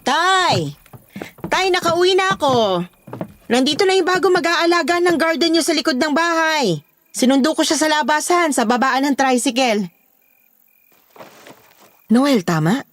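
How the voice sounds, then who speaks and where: A woman's voice: high voice, expressive, unhurried, pauses often, fairly bright tone. A woman with a Filipino accent playing a protective, bossy daughter running a household in a horror audio drama. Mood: calling out.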